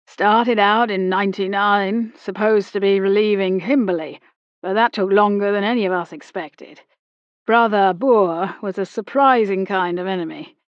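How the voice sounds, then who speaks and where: A woman voicing an old British army captain, brusque, with a clipped, slow and emphatic manner. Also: medium pitch, no room sound, dull audio, close to an everyday voice.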